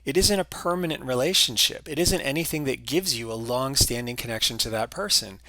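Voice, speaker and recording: A man's voice: mid-range voice, lively intonation, brisk, bright, forceful tone, no room sound. A man giving a recorded history lecture, explaining patiently with some fillers and restarts.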